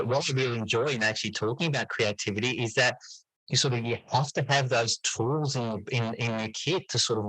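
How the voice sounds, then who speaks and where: A man's voice: mid-range voice, sharp tone, no echo, low-quality recording. A man speaking as an interview guest in casual conversation, hesitant and rambling, often repeating words as he finds his point. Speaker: podcast guest, English teacher and writer.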